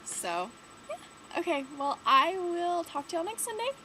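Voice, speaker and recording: A woman's voice: extremely high pitch, very animated, a little slow, bright, forceful tone, rough recording. Stuffy teen girl vlogging, chatty. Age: late teens. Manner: rambling, many "like" and "um" fillers. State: stuffy nose from a cold. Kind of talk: casual chat to camera. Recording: audible background noise.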